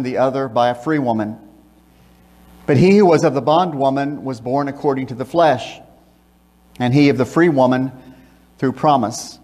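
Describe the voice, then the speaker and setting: An older man preaching from a church pulpit, reading scripture and praying in a measured, emphatic way. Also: medium pitch, a little mellow. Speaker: pastor. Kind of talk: sermon with scripture reading and prayer. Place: church sanctuary, speaking from a pulpit. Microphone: pulpit microphone, filmed by a far camera. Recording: long church reverb.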